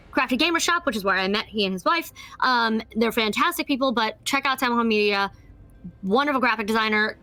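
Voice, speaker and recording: Female co-host, bright high voice, effusive, casual promo delivery. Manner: enthusiastic, effusive. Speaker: co-host of a tabletop gaming stream.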